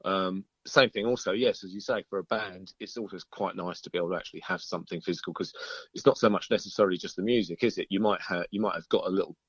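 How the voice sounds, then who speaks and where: A man's voice: low-pitched, quick pace, fairly bright tone, slightly rough, dry recording. A middle-aged man, a musician being interviewed, chatting casually in a hesitant, thoughtful, polite way over a lo-fi line.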